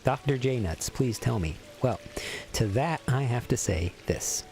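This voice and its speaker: A man's voice: medium pitch, expressive, a little slow, a little mellow, soft background music. Joking forty-year-old man streaming games. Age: 40s. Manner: joking, crude, mock-serious banter. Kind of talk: casual gaming stream chat.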